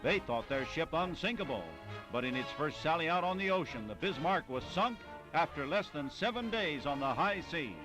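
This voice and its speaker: Dramatic high-pitched 1940s newsreel man. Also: expressive, normal speed, rough recording. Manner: dramatic, declamatory, clipped phrases. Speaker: newsreel narrator. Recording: vintage 1940s newsreel soundtrack with music.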